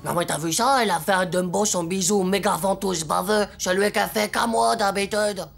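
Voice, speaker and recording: A woman voicing a cheeky, dramatic schoolboy who grumbles in slang, in an animated series. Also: mid-range voice, normal speed, creaky, noisy background, natural voice. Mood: scheming. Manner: slangy, exaggerated complaining. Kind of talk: French animated series dialogue.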